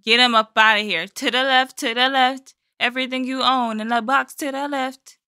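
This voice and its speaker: An American woman in her twenties chatting casually as a reaction host, playful, animated and slangy, close on the microphone. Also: sits high, moderate pace, no echo. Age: 20s. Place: small bedroom studio, indoors. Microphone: close boom-arm microphone.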